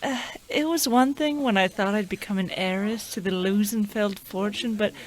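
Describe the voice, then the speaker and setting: A woman's voice: mid-range voice, normal speed, natural voice, rough recording. A woman playing a sweet, fawning, lovestruck heroine, gushing in an old-time radio comedy melodrama. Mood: apologetic.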